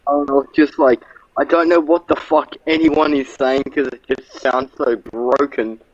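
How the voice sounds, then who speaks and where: A man's voice: mid-range voice, steady tone, a little slow, no room sound. A man chatting on a stream and rambling with many fillers, heard through a dull dry lo-fi mic. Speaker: guest on a stream. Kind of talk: casual chat.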